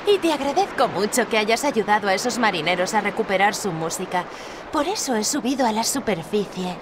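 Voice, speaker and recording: A woman's voice: medium pitch, expressive, fairly quick, lightly acted. A woman playing a sweet, eager young mermaid princess with a gushing, earnest manner in a video game. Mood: friendly, earnest. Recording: clear ambient noise behind.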